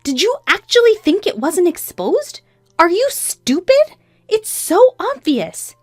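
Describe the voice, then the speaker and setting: A woman's voice: high voice, sing-song, normal speed, no room sound, studio quality, soft background music, voice pushed up. A woman voicing a betrayed, defiant wife, scornful, emphatic and accusing, in a narrated story. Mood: furious. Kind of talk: acted dialogue in a narrated story.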